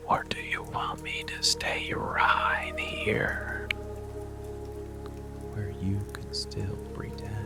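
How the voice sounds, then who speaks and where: A man voicing a dark, seductive, protective monster lover in an ASMR roleplay, speaking in a breathy, gravelly murmur with deliberate pauses. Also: on the low side, exaggerated voice. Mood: coaxing, soothing. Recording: rain and music behind close voice. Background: soft music and rainfall.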